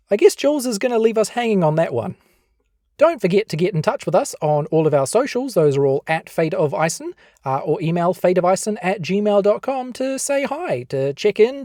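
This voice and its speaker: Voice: medium pitch, mellow, no echo, clean studio sound. A podcast co-host with a New Zealand accent, reading a scripted outro with playful, mock-mysterious questions.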